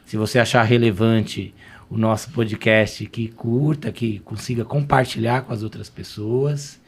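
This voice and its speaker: A man's voice: fairly low pitch, steady tone, unhurried, slightly rough. Chatty São podcast host, man. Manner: chatty, with fillers and restarts. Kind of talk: casual podcast hosting.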